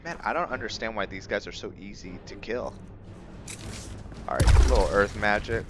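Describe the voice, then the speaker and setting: A man giving casual game commentary with roleplay lore, thinking aloud in a halting way. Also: on the low side, heavy background noise. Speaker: gamer hosting a roleplay let's play.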